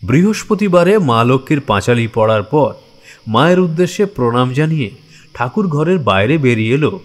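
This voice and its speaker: Casting: male narrator for horror audio stories, medium pitch, dramatic and suspenseful delivery. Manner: theatrical, suspenseful.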